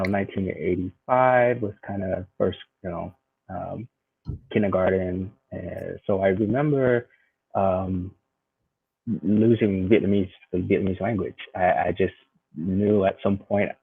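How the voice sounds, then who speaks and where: A man's voice: low-pitched, gravelly, husky, dry recording. A man in his forties, a guest in a conversational interview, speaking thoughtfully with frequent fillers and restarts over a video call. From United States. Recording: tinny phone-like video call.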